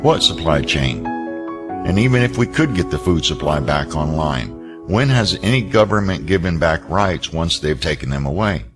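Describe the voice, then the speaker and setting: A man's voice: sits low, lively intonation, normal speed. An actor playing a defiant, worldly man who argues with challenging questions in an audio drama. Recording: music under the voice.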